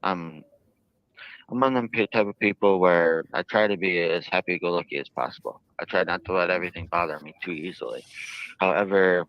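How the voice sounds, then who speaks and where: A man giving interview answers in a halting way, with drawn-out phrasing. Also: low-pitched, no room sound, muffled recording. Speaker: jail inmate interviewed by a reporter.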